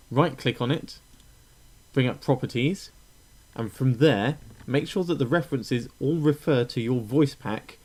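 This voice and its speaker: A man narrating a step-by-step software tutorial in an informal, instructional way, with frequent hesitations. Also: lowish voice. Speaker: tutorial presenter.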